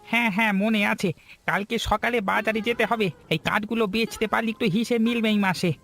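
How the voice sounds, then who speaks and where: A man voicing a kind, hardworking village woodcutter husband in a Bengali cartoon story, earnest and soft-hearted. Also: high-pitched, steady tone, brisk, creaky, faint music behind. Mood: tender, weary.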